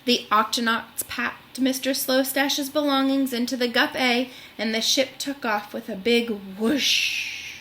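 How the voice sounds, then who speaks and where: A woman's voice: a little high, steady tone, a little slow, few pauses, sharp tone, slight background noise. A woman reading a storybook aloud expressively for young children, engaging the listeners as a host. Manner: gasps and questions addressed to young listeners.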